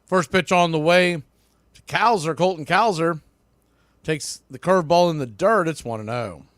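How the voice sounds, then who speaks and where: A man's voice: fairly high pitch, moderate pace, fairly bright tone, no echo, clean studio sound. A man doing live baseball play-by-play in clipped short phrases, with casual asides.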